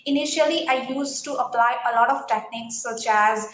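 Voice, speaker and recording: A woman's voice: fairly high pitch, fairly quick, a bit bright, reverberant. A woman with an Indian accent, speaking hesitantly as an English learner in a casual conversation, with many fillers and restarts. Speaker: English learner being interviewed.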